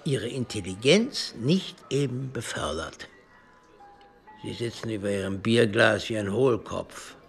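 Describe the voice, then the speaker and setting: A contemptuous professor of anarchy in a radio drama. His low, creaky voice moves very slowly, drawing out words and hissing the emphasis like a zealot of destruction. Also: expressive, faint music behind. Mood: scornful zeal. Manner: scornful, declamatory, drawn-out words with hissed emphasis. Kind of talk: radio play acting.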